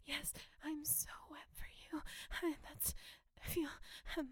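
Breathy high voiced woman, often whispering, slow and submissive, adult roleplay audio, very dry sound. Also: little pitch movement, fairly bright tone, dry recording. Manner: submissive, pleading. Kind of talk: explicit erotic audio roleplay.

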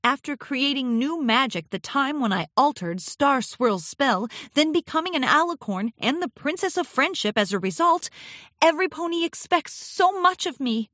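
A woman acts out an eager young female pony princess from a children's storybook. Her voice is high and swings about nervously as she gushes and apologizes, heard close and dry. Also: animated, fairly bright tone, no echo. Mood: anxious rambling. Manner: nervous, gushing, halting. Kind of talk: children's audiobook character voice.

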